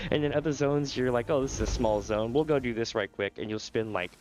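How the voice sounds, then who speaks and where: A man's voice: mid-range voice, brisk, darkish tone, slight background noise, rough recording. A man with a sore throat and a nasal voice, casually explaining a game to viewers during a live stream. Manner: casual, explanatory, chuckles often. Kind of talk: live gameplay commentary.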